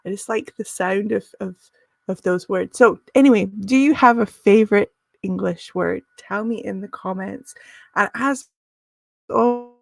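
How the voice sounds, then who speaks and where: A woman's voice: mid-range voice, a little slow, gravelly. A woman teaching a live business English lesson, friendly and encouraging, with frequent "um" and "uh" fillers.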